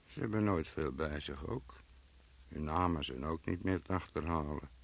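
An actor playing an earthy gravedigger in a radio play, muttering and musing to himself about the dead. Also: male voice, extremely low pitch, moderate pace, gravelly, heavily acted. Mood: wondering, brooding.

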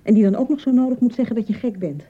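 An actress with a Dutch accent playing a young, anxious newlywed bride in a radio drama, touchy and fretful, chattering and repeating herself. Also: female voice, on the high side, rapid-fire, mellow, dull audio, close to an everyday voice. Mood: hurt, sarcastic. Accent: Dutch (Netherlands). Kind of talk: naturalistic radio drama acting.

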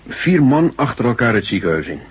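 A man's voice: mid-range voice, big pitch swings, fairly quick, breathy edge. A man acting a burly, folksy construction worker, uneasy and deferential, in a science fiction radio drama. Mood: suspicious, confiding. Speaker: actor in a radio play. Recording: dull, noisy old radio recording.

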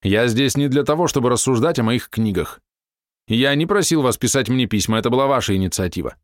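A man's voice: medium pitch, lively intonation, fairly quick, dry recording, studio quality, voice pushed up, lightly acted. A man voicing an irritable middle-aged male writer who snaps back with indignant retorts. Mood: irritated.

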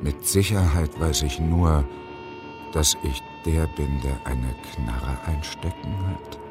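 An actor playing a bitter, obstinate unemployed engineer around fifty in a German radio drama, brooding and insistent. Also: male voice, extremely low pitch, slow. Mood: brooding, fatalistic. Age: 50s. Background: music.

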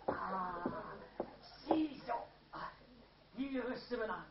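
An actor playing a smooth, scheming stage rogue with theatrical, flowery delivery in a radio drama. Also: male voice, very high-pitched, expressive, drawn-out words, heavily acted. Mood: gleeful. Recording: muffled, echoey old radio play recording, crowd noise.

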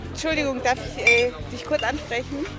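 A woman chatting casually and a little haltingly in a field recording. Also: high-pitched, steady tone. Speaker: documentary author approaching strangers. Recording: location recording, loud crowd and music. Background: crowd, music.

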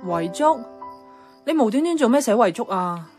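A woman's voice: fairly high pitch, big pitch swings, moderate pace, darkish tone. A woman playing a caring, nostalgic young niece who narrates and acts in a radio drama, with a Hong Kong accent. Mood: concerned. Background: music.

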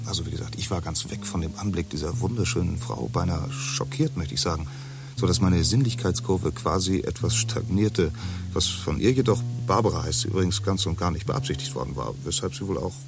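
A deep, gravelly braggart in a radio play, rambling and gushing, music behind. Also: male voice, lively intonation, brisk. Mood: enthusing. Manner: gushing, rambling, long winding sentences. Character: eager middle-aged man boasting of a staged romance.

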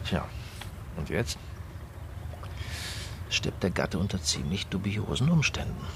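Probing, dry police inspector, background noise. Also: male voice, sits low, sing-song, creaky, heavily acted. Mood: musing, suspicious. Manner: deliberate, questioning, musing with small hums. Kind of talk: German radio crime drama acting.

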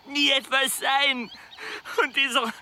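An actor playing a weary, blunt, fatalistic travelling companion in a radio play, halting and sardonic. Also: male voice, very high voice, little pitch movement, sharp tone, slight background noise, heavily acted. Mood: exasperated. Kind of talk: German radio play acting.

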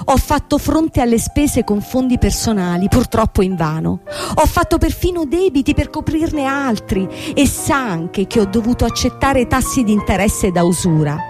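An actress playing a young, proud, ruined company boss in a theatrical radio drama, speaking formally and emphatically with rhetorical questions. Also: female voice, fairly high pitch, animated, moderate pace, a little mellow, lightly acted. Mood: defensive. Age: young adult. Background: music.

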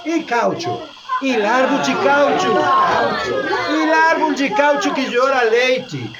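Lively, drawn-out carnival barker. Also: high-pitched, highs cut off, over a noisy crowd, heavy background noise, heavily acted. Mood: teasing, showy. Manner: sing-song, showy, mixing Portuguese words into Spanish. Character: jolly, teasing Brazilian carnival barker inviting people along. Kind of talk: theatrical radio drama acting.

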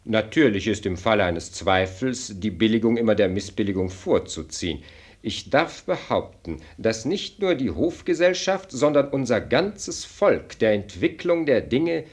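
A man's voice: lowish voice, big pitch swings, unhurried, slightly rough, full character voice. An actor playing an elderly, fatherly minister of state in a radio play, speaking with ceremonious, diplomatic deference. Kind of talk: German radio play acting.

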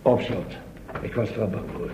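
A man's voice: lowish voice, moderate pace, lightly acted. An actor playing a cheeky, grumbling handyman sidekick in a Dutch radio play, muttering in a wry, colloquial way. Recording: dull, roomy old radio recording, faint noise.

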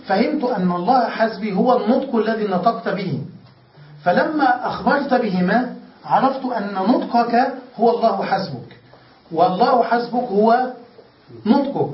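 A man's voice: high-pitched, animated, moderate pace. A man teaching a classroom lesson who explains step by step, restates his points and keeps checking that his students follow. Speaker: grammar teacher. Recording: echoey room, distant lo-fi mic.

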